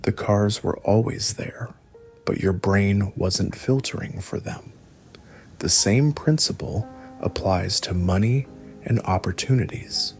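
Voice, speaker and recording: A man narrating a scripted guided sleep hypnosis in a soothing, measured way, with breathy phrase endings. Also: lowish voice, darkish tone. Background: background music.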